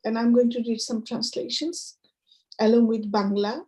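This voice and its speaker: A woman with a Bengali accent reading her poetry and introducing it to listeners in short, deliberate phrases. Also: a little high, dark tone, rough recording. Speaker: poet.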